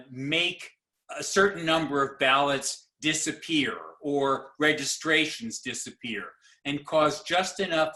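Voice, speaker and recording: A man moderates a panel over a video call. He speaks slowly, with a bright, forceful edge, and keeps stopping to restart his sentences. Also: mid-range voice. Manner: halting, many fillers and restarts.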